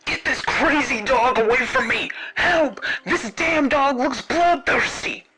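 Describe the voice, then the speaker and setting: A man voicing a terrified male murder suspect in an audio story, yelling and pleading in panic. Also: very high-pitched, expressive, slow, music in the background, pitched above the performer's own voice, heavily acted.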